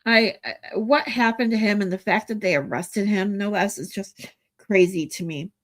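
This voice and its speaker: A woman in her forties hosts a laid-back livestream chat, her voice a bit stuffed up from congestion. She rambles along with lots of ums and false starts, her pitch sitting in an ordinary middle range. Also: moderate pace. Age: 40s. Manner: rambling, many ums and restarts. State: stuffy nose. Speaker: livestream host. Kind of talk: casual chat with viewers.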